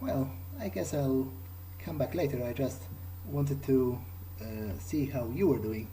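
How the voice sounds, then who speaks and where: A man improvising in character as he plays a game, speaking hesitantly and in a measured way with pauses. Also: mid-range voice, mellow, a little hiss or hum, low-quality recording. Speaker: gamer playing in character. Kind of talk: improvised in-character role-play over a game.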